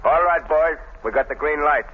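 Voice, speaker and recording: Through a tinny, phone-like old radio recording comes a ruthless gangster boss. His voice is husky and rough, and he barks slangy orders with hard-boiled defiance in 1930s melodrama style. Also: on the high side, moderate pace. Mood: brisk, commanding. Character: tough, defiant male gang boss, ruthless and commanding. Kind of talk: 1930s radio drama acting.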